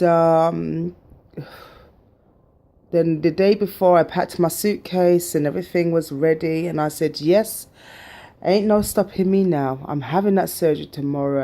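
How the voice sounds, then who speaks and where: A middle-aged woman talks straight to her viewers in a low, mellow voice. She rambles through her story with plenty of fillers and turns emphatic and encouraging as she makes her point. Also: steady tone, normal speed. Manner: chatty and emphatic, many fillers, motivational. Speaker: vlogger sharing her surgery journey. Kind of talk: casual personal storytelling to camera.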